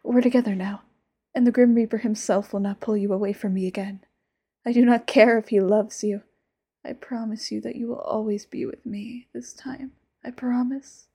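A woman's voice: a little high, steady tone, moderate pace, hardly any pauses, heavily acted. A woman voicing an obsessive, possessive immortal lover in a whispered audio roleplay, sweetly menacing. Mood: fierce. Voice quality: soft breathy whisper. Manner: intimate, sweetly menacing, sudden flares.

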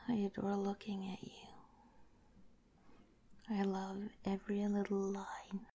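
A woman whispering tenderly and haltingly in an intimate, affectionate romantic ASMR roleplay, her voice breathy. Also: medium pitch, monotone, darkish tone.

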